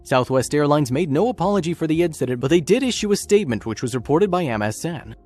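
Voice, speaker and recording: A man's voice: fairly high pitch, animated, quick pace, darkish tone. A man reading scripted, documentary-style story narration as a narrator. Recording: music bed under narration. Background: background music.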